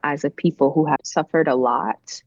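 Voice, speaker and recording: A woman's voice: deep voice, moderate pace, gravelly, a little mellow, dry recording, highs cut off. A woman interview guest telling her personal story in a reflective, emphatic way, repeating phrases for weight. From Benin, later grew up in the United States.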